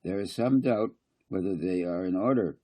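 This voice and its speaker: A man's voice: deep voice, normal speed, warm tone. A man voicing a worried, brassy land developer in a storybook, grave and grumbling.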